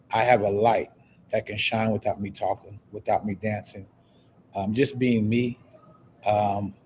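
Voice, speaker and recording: A man's voice: on the low side, warm tone, a little hiss or hum. A man with a lisp and a slight stutter, hosting a live online talk show in a casual, motivational way, repeating phrases and reacting to viewer comments. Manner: repetitive, exclamatory, reading viewer comments aloud.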